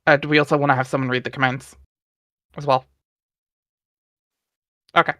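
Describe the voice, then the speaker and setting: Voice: mid-range voice, level tone, normal speed, sharp tone, no room sound, studio quality. A streamer hosting a dramatic reading, switching between narrating aloud and casual chat. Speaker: stream host and reader.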